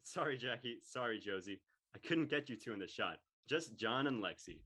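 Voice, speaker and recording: A man playing a brash, image-obsessed high-school boy in an amateur script reading, blunt and mocking. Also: expressive, moderate pace, sharp tone, slightly rough. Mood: teasing. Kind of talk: amateur audio drama table read.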